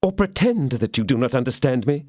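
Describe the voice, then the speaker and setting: A man's voice: mid-range voice, big pitch swings, brisk, darkish tone, dry recording, clean studio sound, pitched above the performer's own voice. A man voicing a scheming woman prisoner who pleads and prays in feigned, sobbing despair. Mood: pleading.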